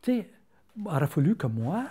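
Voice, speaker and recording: An older man speaks with a rural Quebec French accent, folksy and halting, with plenty of fillers and restarts. His voice rides up and down with lively intonation. The tone is mellow and warm. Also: a little high, husky. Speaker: interviewee. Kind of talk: casual storytelling interview. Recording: interview filmed on camera.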